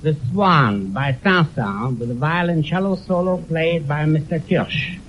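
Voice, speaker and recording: Muffled 1920s American radio announcer, higher-voiced man. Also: measured pace. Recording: muffled, noisy 1929 radio aircheck on film.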